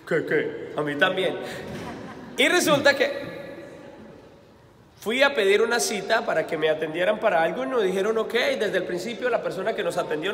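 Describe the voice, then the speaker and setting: A man with a Colombian accent, a priest giving a homily at mass. He is lively and keeps asking the congregation questions, then answering them himself, and he tells stories from his own life. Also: sits high. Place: church. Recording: echoey church hall, faint background noise.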